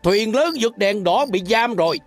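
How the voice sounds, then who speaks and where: A man's voice: high-pitched, expressive. A man voicing a blustering bull pirate villain in a cartoon, bossy and threatening. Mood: defiant. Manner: bossy, drawn-out threatening delivery. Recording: loud music behind the voice.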